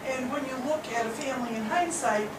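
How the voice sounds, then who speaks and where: A woman's voice: sits high, fairly quick. A woman giving a spoken critique of a play in a group discussion. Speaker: audience member giving feedback on a play. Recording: roomy, distant, noisy live recording.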